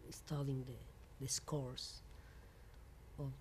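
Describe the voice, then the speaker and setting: A woman from Mexico speaking English with a Mexican Spanish accent as a non-native speaker, giving an interview as a composer in halting English full of fillers and restarts. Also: extremely low pitch, fairly even intonation, a little mellow, slight background noise. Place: venue in New York.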